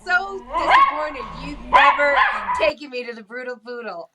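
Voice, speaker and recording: A woman's voice: high voice, animated, moderate pace, heavy background noise. A woman co-hosting a casual comedy talk show, playfully chiming in with quips and echoing phrases for effect. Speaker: podcast co-host.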